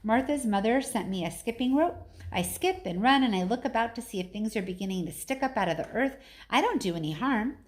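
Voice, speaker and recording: A woman's voice: on the high side, lively intonation, a little fast, natural voice. A woman voicing a thin, anxious little girl, timid and earnest with a tremulous manner, in a storybook reading.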